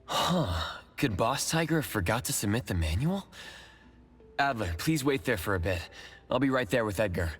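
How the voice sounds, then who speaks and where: An actor playing a composed young investigator leading his team in a video game, measured and matter-of-fact. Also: medium pitch, expressive, fairly bright tone, close to an everyday voice. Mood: composed, focused. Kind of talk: scripted video game voice acting. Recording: music behind the voice.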